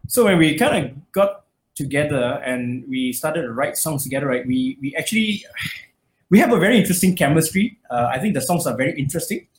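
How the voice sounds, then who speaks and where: A man's voice: on the high side, lively intonation, brisk. A man from Malaysia, a metal musician, chatting casually in an interview, rambling with lots of fillers and restarts. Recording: echoey room, faint noise.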